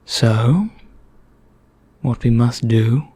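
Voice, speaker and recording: A man playing a genteel, secretive immortal vampire in an ASMR roleplay, speaking in a hushed, deliberate, breathy half-whisper. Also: mid-range voice. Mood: confiding, secretive. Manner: slow, deliberate, genteel and conspiratorial. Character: bored, secretive immortal male vampire, darkly courteous.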